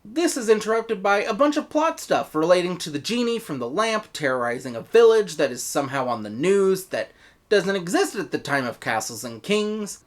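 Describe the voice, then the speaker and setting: American man reviewing, very animated pitch. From United States. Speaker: reviewer, host. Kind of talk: scripted review narration with personal opinion.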